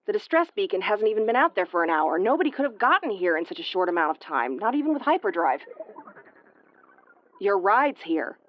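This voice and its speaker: Female performer for tsundere space commander, audio roleplay: gruff, prickly, secretly caring, medium pitch, very dry recording. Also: sing-song, a little fast, no room sound, dull audio, natural voice. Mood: indignant. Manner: brusque, stammering when flustered. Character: tough tomboyish tsundere woman starship commander, prickly but caring. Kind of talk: intimate audio roleplay acting.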